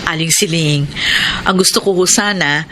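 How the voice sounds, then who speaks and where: A woman's voice: fairly low pitch, moderate pace, bright, forceful tone, a little hiss or hum. A woman playing a grateful, devoted single mother in a Filipino radio drama, speaking earnestly and respectfully. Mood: insistent, grateful. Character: grateful, devoted single mother telling her life story. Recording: music bed behind voice.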